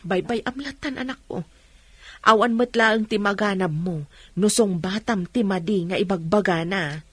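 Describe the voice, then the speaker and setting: A woman playing a patient, apologetic village mother, placating and gently admonishing, in a radio drama. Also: medium pitch, normal speed, slightly rough, dry recording. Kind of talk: comedy radio drama acting.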